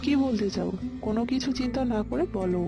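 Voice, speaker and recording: A woman's voice: fairly high pitch, fast, gravelly. A woman voicing a kind, earnest young man in love in a scripted cartoon. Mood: earnest, loving. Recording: loud background music. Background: music.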